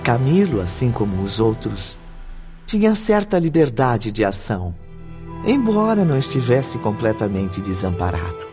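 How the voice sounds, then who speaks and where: A man's voice: mid-range voice, big pitch swings, normal speed, dark tone, soft background music. A Brazilian man narrating a radio drama about spirits in a formal, dramatic storytelling style. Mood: solemn, explanatory.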